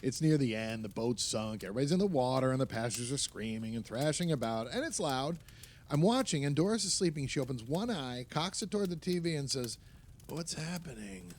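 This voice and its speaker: A man's voice: expressive, brisk, lightly acted. A man acting a jovial husband who tells stories casually in a radio play. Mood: amused. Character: jovial husband telling anecdotes among friends. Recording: faint rumbling background noise.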